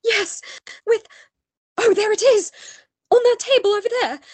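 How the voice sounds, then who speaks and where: A woman playing an anxious, pleading gentlewoman in a melodramatic radio play, earnest and emotional. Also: very high voice, measured pace, no echo. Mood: distressed, anxious.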